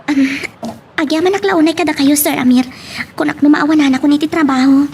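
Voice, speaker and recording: A woman's voice: sits high, steady tone, fairly quick, a little mellow. A woman playing a polite, grateful young office employee in an Ilocano audio drama, deferential and a little hesitant. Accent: Ilocano Filipino. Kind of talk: amateur audio drama dialogue. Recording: faint background noise.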